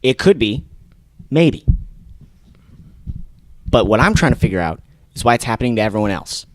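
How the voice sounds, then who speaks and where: A man playing an irritable, skeptical podcast guest, blunt and impatient with a deadpan grumble, in a mock interview. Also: mid-range voice, normal speed, close to an everyday voice.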